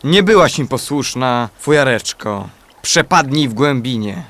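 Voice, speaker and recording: An actor playing a rueful young prince in a fairy-tale radio play, speaking in a dramatic, lamenting way. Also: male voice, medium pitch, expressive, unhurried, a bit bright. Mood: bitter.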